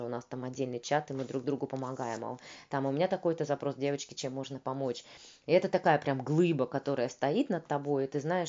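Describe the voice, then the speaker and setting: A woman with a strikingly deep, low voice talks earnestly as a peer consultant. She explains at length and stops often to find the right word. The sound is dull and lo-fi. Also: dark tone. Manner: earnest, explanatory, pauses to search for words. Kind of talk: conversational interview answer.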